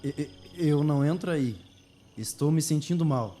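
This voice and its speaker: A man's voice: a little high, normal speed, warm tone, faint music behind, close to an everyday voice. A man playing an uneasy, hesitant young man who is reluctant to go on, in an amateur radio drama. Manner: hesitant, anxious.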